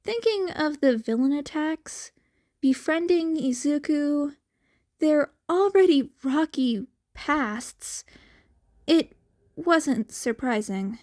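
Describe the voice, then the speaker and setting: A woman reading scripted fan fiction as an expressive story narrator. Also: high voice, slow pace.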